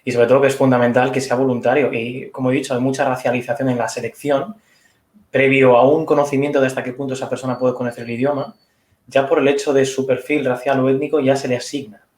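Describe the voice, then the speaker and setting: A man answers audience questions at an online conference, weighing each point and reaching for examples. He talks briskly. His voice carries the echo of a room over a video call. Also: medium pitch, little pitch movement, darkish tone. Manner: thoughtful, hedging, explains with examples. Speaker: conference speaker.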